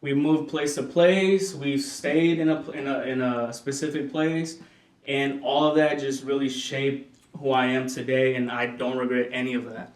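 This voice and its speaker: A man's voice: medium pitch, fairly even intonation, normal speed. Young man from El Salvador, roomy. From El Salvador and Honduras. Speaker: podcast guest, realtor. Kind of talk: casual interview answers. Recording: roomy sound, voice from a distance.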